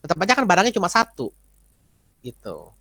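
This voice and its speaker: A man's voice: high voice, wildly swooping pitch, brisk, sharp tone, no room sound. A man, lecturing informally and often checking that listeners follow with short tags. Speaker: accounting lecturer. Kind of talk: informal explanatory teaching with question and answer.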